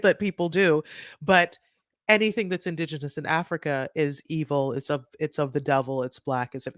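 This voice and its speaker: Middle-aged woman guest, animated and chatty, dry close mic. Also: fairly low pitch, dry recording. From Trinidad. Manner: animated, many restarts and "right?" tags. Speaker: author guest. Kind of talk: conversational interview.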